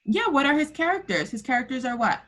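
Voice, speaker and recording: A woman's voice: high-pitched, big pitch swings, fast, a bit bright. A woman kindergarten teacher leading an interactive online lesson for young children over a video call, encouraging them with simple prompts and endearments. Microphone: computer microphone on a video call.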